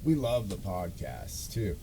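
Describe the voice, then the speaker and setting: Low, mellow man rambling over rumbly noise. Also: sing-song, slow pace, rough recording. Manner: informal, self-deprecating, swears casually. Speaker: podcast and show host. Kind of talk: casual rambling storytelling to followers.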